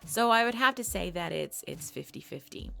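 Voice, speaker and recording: A woman who makes ASMR audio, answering viewer questions in a soft, thoughtful casual talk with frequent little restarts. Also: fairly low pitch, expressive, moderate pace, gravelly. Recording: faint background music.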